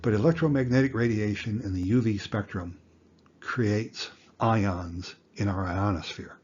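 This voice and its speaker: A man in his sixties giving an educational lecture in plain words, with a deliberate, folksy manner. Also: lowish voice, a little mellow, muffled recording, a little hiss or hum. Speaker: instructor.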